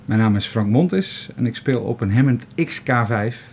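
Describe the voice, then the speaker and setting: Hesitant man, mid-pitched, faint noise. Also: lively intonation, a little mellow. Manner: hesitant, halting. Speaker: Hammond organist. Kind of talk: casual interview talk.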